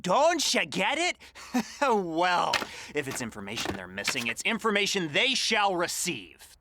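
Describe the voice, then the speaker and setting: A woman playing a put-upon, quietly scheming mayoral aide in a comedic audio drama, deadpan and deliberate. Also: on the low side, background noise, exaggerated voice. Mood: sly, mocking.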